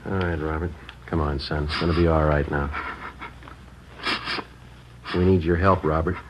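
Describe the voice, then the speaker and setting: A deep, terse homicide detective. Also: male voice, steady tone, moderate pace. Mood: gentle, patient. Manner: clipped, level, gentle with the boy. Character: terse male homicide detective, calm, matter-of-fact. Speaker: police detective sergeant. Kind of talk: 1950s radio drama acting. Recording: dull lo-fi old radio broadcast with hiss.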